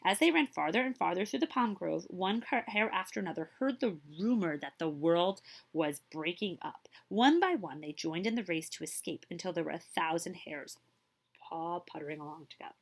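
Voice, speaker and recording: A woman reading a children's story aloud as a librarian, with expressive, dramatic emphasis and questions to her young listeners. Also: fairly low pitch, moderate pace, gravelly.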